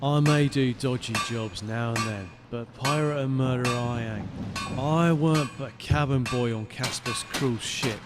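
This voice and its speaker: A man voicing a wary, gruff sailor in a video game, with rough colloquial talk. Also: mid-range voice, a little slow, heavy background noise, natural voice. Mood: guarded, matter-of-fact. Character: gruff, wary male sailor, former cabin boy. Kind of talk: video game character dialogue.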